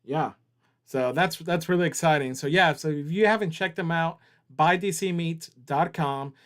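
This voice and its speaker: A man's voice: fairly high pitch, normal speed, a bit bright. A man hosting a casual livestream, joking around and reading viewer chat aloud. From Southern US. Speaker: livestream host.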